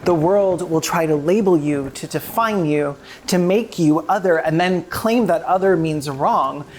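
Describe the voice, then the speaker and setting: Low-voiced woman hosting a video. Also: unhurried, a little mellow, slight background noise. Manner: informal, sweary. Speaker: video essay creator and host. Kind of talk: direct-to-audience scripted narration and channel sign-off.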